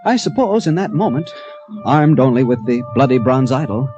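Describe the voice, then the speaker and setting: An actor plays an American adventurer under a woman's spell in an old-time radio drama. His voice is mellow and earnest, halting as he feels for words. Faint music sits behind him. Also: mid-range voice, expressive, lo-fi sound, lightly acted. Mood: dreamy, haunted. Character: infatuated ship's captain turned brawler, recalling his wild adventure. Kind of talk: old-time radio drama acting with first-person narration.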